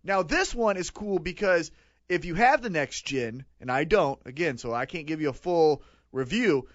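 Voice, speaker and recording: A man's voice: on the high side, lively intonation, normal speed, no echo, muffled recording. A man in his late twenties co-hosting a podcast, rambling and joking in casual chat. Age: 20s. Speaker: podcast co-host.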